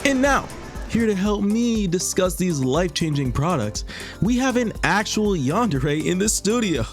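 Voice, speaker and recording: A man acts as an upbeat, slick infomercial pitchman with wildly swooping pitch. He sells in theatrical patter, punching key words with exaggerated emphasis over background music, as in a comic audio sketch. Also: very animated, measured pace, a crowd in the background. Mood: cheerful, showy. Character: upbeat, slick parody infomercial host peddling anti-stalker gadgets. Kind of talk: comedic scripted infomercial roleplay.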